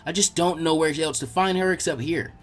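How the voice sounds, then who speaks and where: A man voicing a brash, excitable young ninja boy in a fan fiction reading, with blunt, whiny delivery. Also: on the high side, brisk, close to an everyday voice. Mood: casual.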